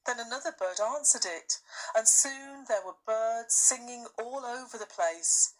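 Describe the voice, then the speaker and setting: A woman reading a children's story aloud as expressive scripted narration. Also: a little high, measured pace, rough recording. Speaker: storybook reader, teacher.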